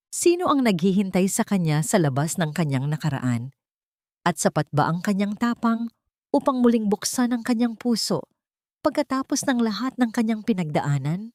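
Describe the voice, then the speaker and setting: A woman with a Filipino accent narrating a scripted dramatic story in a solemn, deliberate, literary way. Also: lowish voice, darkish tone, dry recording, studio quality.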